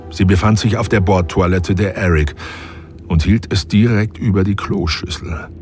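A man narrating a science fiction audio drama in a measured, scripted way. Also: sits low, darkish tone, soft background music.

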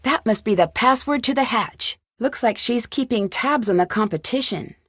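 A woman's voice: on the high side, expressive, moderate pace, a bit bright, no room sound, clean studio sound. A woman playing a curious young female detective in a video game, musing to herself. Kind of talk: video game character acting.

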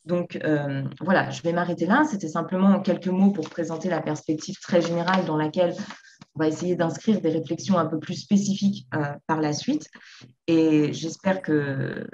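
A woman introducing an academic seminar over a video call, speaking in measured phrases with fillers. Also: deep voice, fairly even intonation, darkish tone. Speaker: seminar organizer. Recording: dull lo-fi video call audio.

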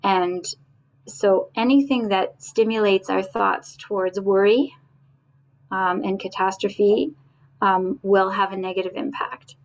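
A woman's voice: mid-range voice, low-quality recording. A woman answering thoughtfully as a panelist over a headset microphone on a video call. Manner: thoughtful, measured. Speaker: psychiatry professor, panelist. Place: home room.

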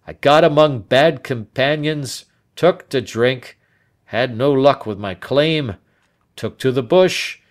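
A man voicing a dying, remorseful older man in an audiobook, speaking earnestly with a slow, heavy delivery. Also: mid-range voice, pitched above the performer's own voice, close to an everyday voice. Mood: grave. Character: aging male rancher, dying and remorseful. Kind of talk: audiobook character voice.

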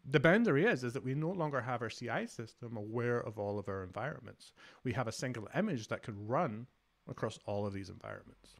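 A Scottish man from Glasgow in his thirties, giving an enthusiastic, conversational conference talk over a video call. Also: mid-range voice. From Scotland. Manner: enthusiastic, conversational, many fillers. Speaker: conference speaker, developer advocate.

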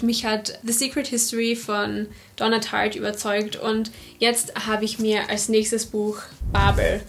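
A young woman in her twenties, a book vlogger whose first language is not English, chatting enthusiastically to camera and stacking up repeated words for emphasis, in a room lined with bookshelves. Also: medium pitch. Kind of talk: casual book talk to camera. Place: room with full bookshelves, indoors.

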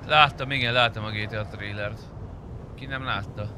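A man's voice: lowish voice, fairly even intonation, sharp tone. A man chatting casually with viewers as a game streamer. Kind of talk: casual livestream chat with viewers. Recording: noisy, vehicle and street sounds behind.

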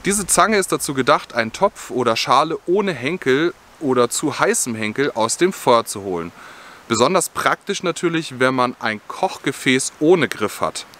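A young man with a bright, clear-edged voice talks through camping gear in a friendly, explanatory way. He is filmed outdoors in a forest, speaking to the camera as the channel's host. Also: mid-range voice, expressive, normal speed. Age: young adult. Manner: friendly, explanatory, with scripted-sounding product details. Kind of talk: gear review vlog.

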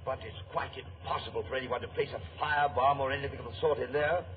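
A man's voice: mid-range voice, steady tone, quick pace, close to an everyday voice. An actor playing a cautious, dutiful male ship's purser in 1940s radio drama, speaking in a deferential, careful manner. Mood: helpful. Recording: hissy, phone-like 1940s radio recording.

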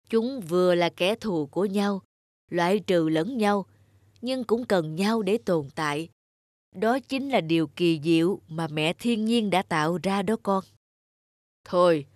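Ancient tree-spirit mentor for a cartoon fable, female actor: lowish voice, patient, measured, instructive, music underneath. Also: no echo, studio quality. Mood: wise, solemn. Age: older. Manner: measured, didactic, gently instructive. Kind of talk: animated fable dialogue, teaching.

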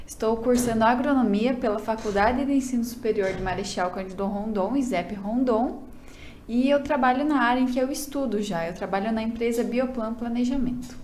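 A woman's voice: on the high side, brisk, darkish tone, a little hiss or hum. A nineteen-year-old Brazilian woman introducing herself politely and formally in an interview. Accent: Brazilian Portuguese. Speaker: pageant candidate. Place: office studio. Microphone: desk mic on stand.

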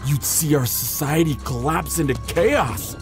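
A man's voice: fairly high pitch, a little slow, music in the background. A man playing a captured, defiant and desperate superhero, protective of a lover, in an ASMR audio role-play with a strained delivery. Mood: indignant.

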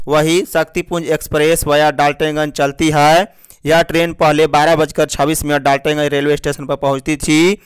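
Male news reader, clean smooth voice, formal scripted delivery, dry close sound. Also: a little high, no room sound. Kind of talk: scripted news bulletin narration.

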